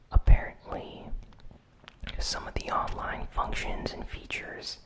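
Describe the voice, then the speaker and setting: A host whispering a weekly gaming news recap in ASMR style, soft and unhurried with frequent "um" fillers. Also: low-quality recording. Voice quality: fully whispered.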